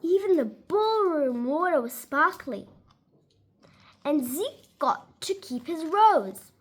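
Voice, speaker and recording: High-pitched, animated woman reading a storybook. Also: slow pace. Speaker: storyteller reading a children's book aloud. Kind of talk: expressive read-aloud of a children's storybook.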